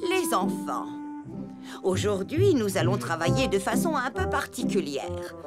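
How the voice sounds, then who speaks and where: Formal, expressive cartoon schoolteacher. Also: female voice, medium pitch, unhurried, loud music behind the voice. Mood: instructive. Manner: formal, precise, proper. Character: strict, anxious substitute schoolteacher, adult female cartoon elephant. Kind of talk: French cartoon dubbing.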